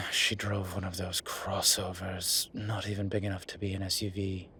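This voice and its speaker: Man voicing a male demon professor, lowish pitch, measured, suave, teasing, vain, audio roleplay, background ambient noise. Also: level tone, sharp tone. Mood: wry, confiding. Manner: formal, measured, teasing, wry.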